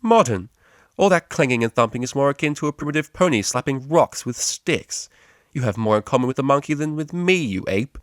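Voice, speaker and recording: A man's voice: medium pitch, animated, brisk, no room sound, clean studio sound, voice pushed up, lightly acted. Man voicing a prim, haughty pony cellist mare. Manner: haughty, formal, precise. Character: prim, snobbish, refined female pony cellist.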